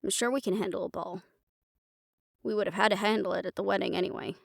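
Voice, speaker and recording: A woman playing a calm, sensible, gently protective character with a measured, soothing manner in a fantasy audio roleplay. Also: mid-range voice, vocal fry, no echo, studio quality. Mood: calm, reassuring. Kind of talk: dramatic audio roleplay acting.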